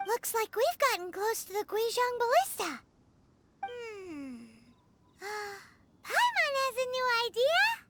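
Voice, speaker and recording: A woman voicing a tiny, excitable, childlike fairy companion in a video game, squeaky and exclamatory. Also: takes it slowly, no echo, heavily acted. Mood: thoughtful, hesitant. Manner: refers to herself in third person, exclamatory. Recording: loud game music behind.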